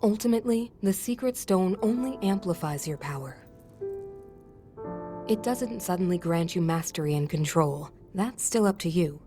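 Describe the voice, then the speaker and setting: Scholarly fantasy game sage, actress: lowish female voice, composed, precise, explanatory, clear music underneath. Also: lively intonation, normal speed, close to an everyday voice. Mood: explaining solemnly. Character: scholarly, composed elder sister sage of an ancient people. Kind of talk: dramatic video game cutscene acting.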